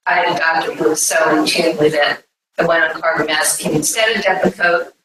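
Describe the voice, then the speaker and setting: A woman's voice: low voice, pushed and bright, recorded from a distance. A woman hosting an event, giving an informal welcome speech to an audience. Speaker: event host introducing presenters. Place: echoey indoor conference room. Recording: echoey room, picked up from afar.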